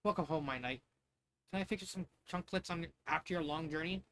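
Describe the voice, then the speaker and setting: A man's voice: fairly high pitch, fairly quick, a bit bright. A man reading the part of a loving royal wife who stands up for her husband, with faint noise behind. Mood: affectionate. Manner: affectionate, firm when crossed.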